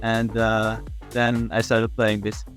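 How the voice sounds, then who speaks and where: A nineteen-year-old man from Canada talking casually in an interview, relaxed and rambling with lots of fillers. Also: fairly low pitch, takes it slowly, soft background music. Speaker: pro gamer interviewee.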